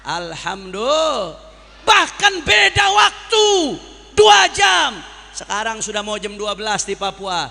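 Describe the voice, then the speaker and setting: A man's voice: high voice, big pitch swings, slow, gravelly, pushed and bright, shouting, long reverb tail. A man preaching a sermon to a congregation as an impassioned orator, using repetition and rhetorical questions. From Jakarta, Indonesia. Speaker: Islamic preacher.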